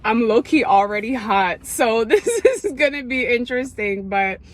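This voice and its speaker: Young woman, from Ethiopia, casual vlog talk, emotional, excitable, many fillers. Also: fairly high pitch, measured pace, a little hiss or hum. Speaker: vlogger. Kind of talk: casual testimony to camera.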